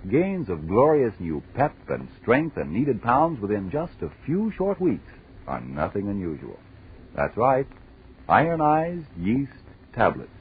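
A man's voice: fairly low pitch, slight background noise. An American man announcing in a dramatic, declamatory 1940s radio style. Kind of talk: 1940s radio horror show announcing and ad reading. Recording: tinny, band-limited 1940s radio recording.

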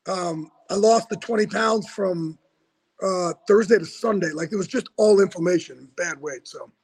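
A raspy-voiced man with a fairly high pitch, joining an interview remotely from home. He talks loosely and quickly, full of "like" and "you know," in a casual back-and-forth. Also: darkish tone, poor audio. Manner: loose and quick, with lots of fillers and "like". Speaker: interview guest, football insider.

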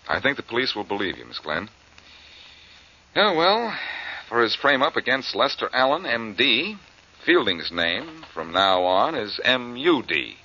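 An actor playing a confident, wisecracking amateur detective in a 1940s radio drama, breezy and teasing. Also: male voice, mid-range voice, sing-song, a little slow, pushed and bright, exaggerated voice. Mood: assured, wry. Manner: breezy, teasing, self-assured. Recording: muffled old radio recording, faint noise.

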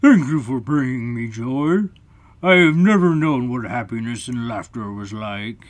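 A man voicing a grumpy, lonely big male troll who talks loudly and grumbles. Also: mid-range voice, lively intonation, a little slow, voice pushed up, natural voice. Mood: grateful. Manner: loud, grumbling and complaining.

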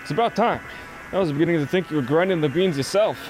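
An actor playing a food-loving police patrol partner in an audio drama, grumbling and bantering. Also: male voice, fairly high pitch, animated, quick pace, music in the background, close to an everyday voice, poor audio. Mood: mock complaining.

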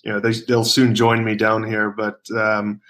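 Rugby player guest, thirties, from South Africa, slightly low voice, loose rambling delivery. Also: male voice, completely flat delivery, unhurried, a bit bright. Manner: rambling, many fillers and restarts. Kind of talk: casual interview chat.

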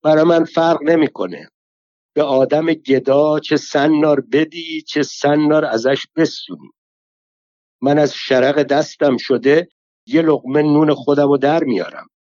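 A man's voice: fairly high pitch, steady tone, a little mellow, dry recording, voice pushed up, natural voice. A man voicing a foul-mouthed older woman pilgrim who curses and complains in a stream of folk sayings, on a slightly dull recording. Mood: lamenting.

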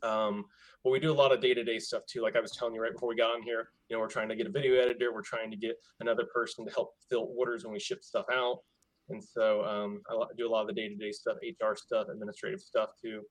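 Relaxed, chatty man explaining his work. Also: fairly low pitch, quick pace, poor audio. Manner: relaxed, chatty, loose and informal. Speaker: missions ministry worker. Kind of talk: casual explanation.

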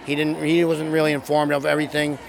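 A middle-aged father speaking earnestly and haltingly at an outdoor street interview. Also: on the high side, completely flat delivery, vocal fry. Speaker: march attendee. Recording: crowd and street noise.

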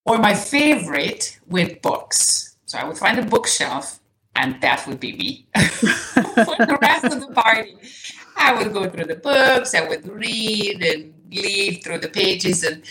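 A woman from Serbia whose native language is Serbian, a podcast guest speaking in a casual interview, soft-spoken and hesitant, restarting often and laughing lightly. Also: mid-range voice, slight background noise, poor audio. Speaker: guest, nutrition coach.

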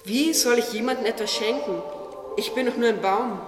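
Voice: medium pitch, normal speed, lightly acted. An actor playing a naive, curious talking tree in a religious radio play, earnest and questioning. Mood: doubtful. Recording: echoey, distant, long reverb, music behind.

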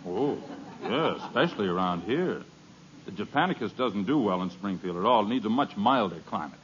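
An actor playing a fussy neighbor who is a pedantic, polite amateur gardener, in 1950s radio comedy. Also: male voice, fairly low pitch, expressive, moderate pace, slight background noise, close to an everyday voice. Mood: prim. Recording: muffled old radio broadcast.

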